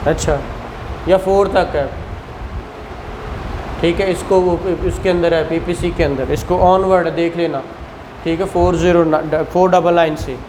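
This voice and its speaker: A man's voice: fairly high pitch, fairly even intonation, measured pace, pauses often, a little mellow, a little hiss or hum, poor audio. A man with a Pakistani accent teaching law, reading statutes aloud phrase by phrase and explaining them conversationally with rhetorical questions.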